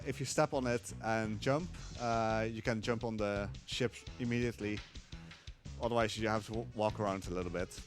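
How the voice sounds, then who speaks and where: A man calmly explaining tricks while playing a game live, with frequent fillers. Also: on the low side. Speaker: speedrunner. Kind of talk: live speedrun commentary.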